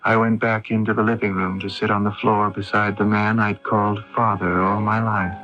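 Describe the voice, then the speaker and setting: A man playing a grave, troubled grown son who narrates a radio drama in a somber, deliberate way. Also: fairly low pitch, flat delivery. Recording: dull AM radio tape, loud music behind. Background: dramatic music.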